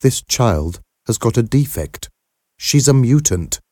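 A man performs a devout mother in an audiobook tale. She is cold, severe and righteous, speaking in a raised, deliberate voice. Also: mid-range voice, sing-song, warm tone, no echo, clean studio sound, voice pushed up. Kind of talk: audiobook character voice.

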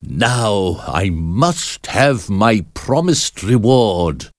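A commanding storybook astrologer, acted out by a man, speaks slowly and deliberately. The low voice swings dramatically up and down, sounding emphatic and sure of its magic. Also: huge pitch swings, dry recording, clean studio sound, full character voice. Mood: triumphant. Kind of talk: storybook character reading.

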